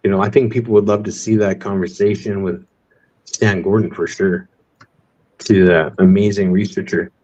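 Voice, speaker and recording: A man hosting a live stream in casual chat, relaxed and welcoming. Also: low-pitched, moderate pace. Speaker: host. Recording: muffled lo-fi audio.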